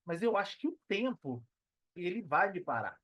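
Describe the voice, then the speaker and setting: High-pitched Brazilian man in his thirties. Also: very animated, moderate pace, slightly rough, no room sound, muffled recording. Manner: conversational, peppered with slang tags seeking agreement. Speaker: podcast guest, personal trainer and affiliate marketer. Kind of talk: casual podcast interview talk.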